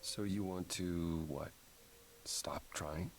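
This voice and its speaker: Casting: a world-weary, remorseful game idol, very low and creaky, hushed delivery. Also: male voice, slight background noise. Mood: weary, resigned. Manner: soft, halting, resigned. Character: Apollo, weary despondent male idol, gentle and remorseful.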